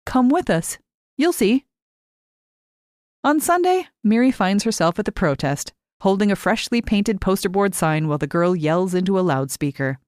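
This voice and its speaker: A woman reading a short story aloud as expressive, scripted narration with measured phrasing and deliberate pauses. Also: medium pitch, no echo, clean studio sound. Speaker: short fiction reader.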